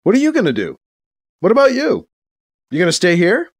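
Man acting a wry, animated, sarcastic guy. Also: high voice, voice pushed up. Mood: awkward cheer. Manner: halting, joking, sardonic. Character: wry, sarcastic man, uneasy but putting on good cheer.

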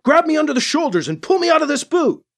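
Desperate spaceman, played by a male narrator, high and animated, barking urgent orders through pain in a sci-fi audiobook. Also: fast talker, heavily acted. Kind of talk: audiobook character acting.

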